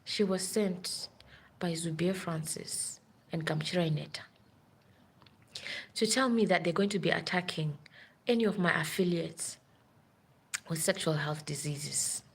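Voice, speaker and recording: A woman's voice: on the low side, a little slow, vocal fry, long pauses, breathy edge. A woman who works as a nurse, telling a long story in a rambling, urgent way on a live stream. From Africa.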